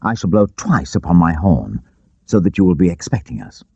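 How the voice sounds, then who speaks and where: An actor playing a bold, gleeful outlaw leader giving rousing, declamatory orders in an audiobook adventure. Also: male voice, low-pitched, big pitch swings, normal speed, creaky, mellow, slightly rough, no echo, highs cut off, exaggerated voice. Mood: commanding.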